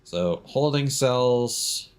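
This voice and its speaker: A man's voice: mid-range voice, lively intonation, extremely slow, clean tone. A man giving casual live gameplay commentary as a streamer, thinking aloud as he plays. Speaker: game streamer.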